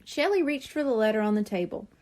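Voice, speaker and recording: Very animated, high-pitched woman storyteller. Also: fast talker. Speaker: children's story reader. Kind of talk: expressive scripted storybook narration.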